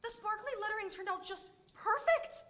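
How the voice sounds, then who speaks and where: A woman reading the part of a peppy teen girl who squeals with excitement about a campaign. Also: very high voice, normal speed.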